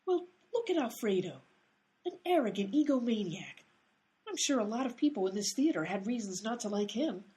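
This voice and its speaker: Woman as a bossy, lively, high-voiced amateur sleuth. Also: moderate pace. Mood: suspicious. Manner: snappy, sarcastic. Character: bossy, sharp-tongued young female amateur detective. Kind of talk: radio comedy acting.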